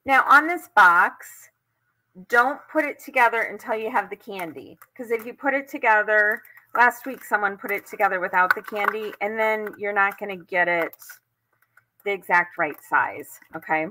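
A woman's voice: mid-range voice, moderate pace, sharp tone, low-quality recording. An older woman with an American accent hosting a craft tutorial live from home, chatting casually as she demonstrates. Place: indoors at home.

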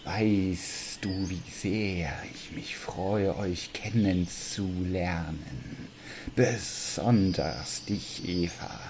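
A man's voice: deep voice, heavily acted. A man voicing a sly, menacing talking serpent in an audio drama. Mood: sly, ominous. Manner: slow, menacing. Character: sly, ominous male talking serpent tempter. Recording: loud birdsong and noise behind.

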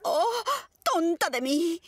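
A woman's voice: animated, slow pace, slight background noise, heavily acted. An actress playing an easily frightened, dramatic mother and shopkeeper in a children's cartoon dub. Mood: chatty, exclaiming. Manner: dramatic, shrill exclamations.

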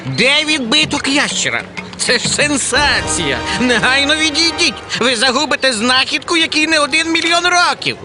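A man playing a pompous, excitable, forgetful archaeology academic in a cartoon, blustering and exclaiming. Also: extremely high pitch, moderate pace. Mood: excited, self-important. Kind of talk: animated cartoon acting. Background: loud music.

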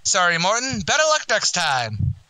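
A man's voice: sits high, wildly swooping pitch, normal speed, sharp tone, no room sound, exaggerated voice, poor audio. A man playing a blustering, wisecracking tavern manager who acts the grand quizmaster in a radio comedy. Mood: wry, blustering. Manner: mock-grand quizmaster, malapropisms. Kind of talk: old-time radio comedy acting.

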